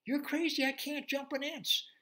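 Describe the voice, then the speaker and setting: A man voicing a lazy, grumpy big fat male pig in a told story, loud and whiny. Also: level tone, moderate pace. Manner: whiny, loud and squealing high.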